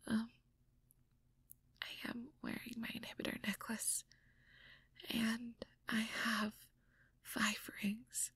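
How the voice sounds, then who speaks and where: A woman playing a shy, anxious young mage girlfriend in an intimate audio roleplay, hesitant and stammering in a breathy whisper. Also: on the high side, little pitch movement, slow, long pauses, a bit bright, dry recording, heavily acted. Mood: self-conscious, vulnerable. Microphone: close microphone, dry.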